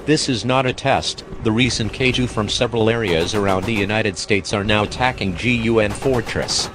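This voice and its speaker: A man's voice: lowish voice, moderate pace, music in the background, close to an everyday voice. A man playing a grave military base announcer, reading a scripted emergency warning in a formal, emphatic way. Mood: grave, urgent.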